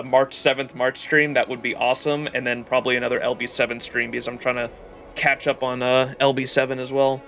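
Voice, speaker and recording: A male livestreamer with a bright, forceful voice at a medium pitch. He comments casually on a game in an excitable, rambling way, with music playing behind him.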